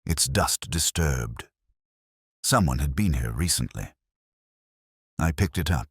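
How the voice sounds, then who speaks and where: Very deep, brooding detective narrator, acted by a man. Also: slightly rough, no room sound, clean studio sound, heavily acted. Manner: measured, wry, brooding. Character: melancholy, watchful male police inspector recounting a case. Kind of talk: scripted first-person literary mystery narration.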